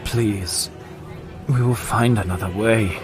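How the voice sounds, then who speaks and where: A man plays a worried, devoted confidant in an audio drama. He speaks very low, earnest and formal in his pleading, beneath prominent music. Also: takes it slowly, crowd noise.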